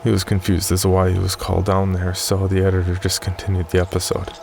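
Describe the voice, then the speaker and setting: Very deep, gravelly man narrating horror. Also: completely flat delivery, fast talker, darkish tone, faint music behind. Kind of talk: scripted first-person horror story narration.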